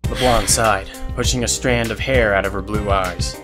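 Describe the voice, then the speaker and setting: Low-voiced male story narrator. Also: fairly even intonation, normal speed, over music. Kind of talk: scripted dramatic story narration.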